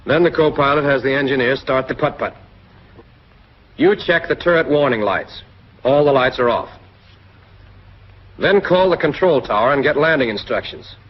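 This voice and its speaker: A man's voice: mid-range voice, animated, moderate pace, long pauses. An American man reads scripted narration for a 1940s military training film, addressing the listener directly in an instructive way. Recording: muffled, husky-sounding 1940s film soundtrack.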